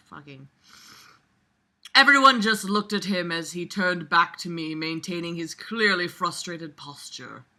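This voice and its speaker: A woman's voice: lowish voice, bright, forceful tone. A woman casually reading aloud with mocking commentary, sarcastic, exasperated and foul-mouthed. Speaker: amateur reader and commentator with a regular audience.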